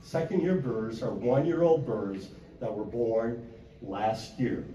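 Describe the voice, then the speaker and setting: An older man gives a plain-spoken talk at a convention, loud and steady, with frequent "uh" fillers. His voice is dark and mellow. It carries through an echoey hall from a mic held away from his mouth, over faint room noise. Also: medium pitch, slow pace, recorded from a distance. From Wisconsin. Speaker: conservation speaker. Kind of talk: convention presentation. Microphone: handheld mic held away from mouth.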